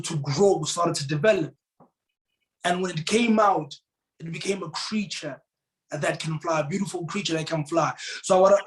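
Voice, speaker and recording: A man's voice: high voice, rough recording. A man from South Africa, a Tswana speaker, telling a motivational story earnestly as an interview guest. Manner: earnest, repeats words, rises to emphatic bursts.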